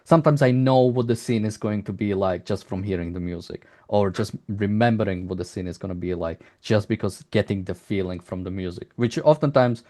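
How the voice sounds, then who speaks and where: A man interviewing a developer in a conversational style, with halting, hesitant phrasing. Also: lowish voice, mellow.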